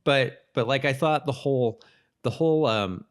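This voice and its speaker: A man co-hosting a podcast in casual chat, talking haltingly and often rephrasing as he goes. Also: medium pitch. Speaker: podcast co-host. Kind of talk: casual chat recapping a TV episode.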